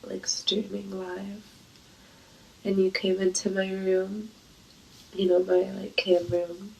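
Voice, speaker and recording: Woman, congested with a cough, heavy vocal fry, unhurried pace, flirty, playful, casual intimate chat. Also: medium pitch, little pitch movement, darkish tone, rough recording.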